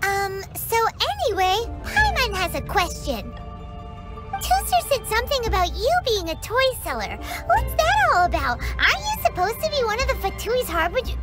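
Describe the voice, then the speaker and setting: A tiny cheeky fairy, very high lively voice, sassy teasing game sidekick, loud music behind. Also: female voice, moderate pace, heavily acted. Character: tiny cheeky floating female fairy companion. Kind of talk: video game dub.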